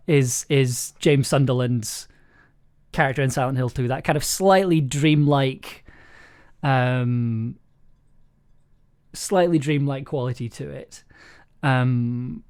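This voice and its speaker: A man hosting a casual script discussion, thinking aloud in a halting way and repeating words as he explains. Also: a little high, a little mellow, clear, resonant tone. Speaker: audio drama writer and director hosting a livestream.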